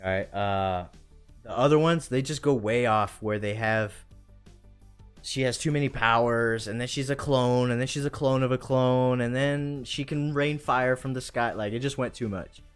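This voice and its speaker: A man's voice: mid-range voice, moderate pace, clear, resonant tone. A man hosting a live stream in casual chat, enthusiastic and slangy, with music behind him.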